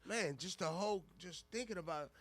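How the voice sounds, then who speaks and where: A man's voice: sits high, fairly quick, fairly bright tone, soft background music. A man co-hosting a radio show, chatting informally and cutting in with questions. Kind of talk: casual chat.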